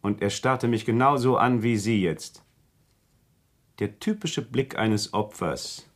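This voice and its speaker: An actor playing a deranged, coolly menacing killer in a German radio crime drama, lecturing insistently and deliberately about his mission with a husky voice. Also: mid-range voice.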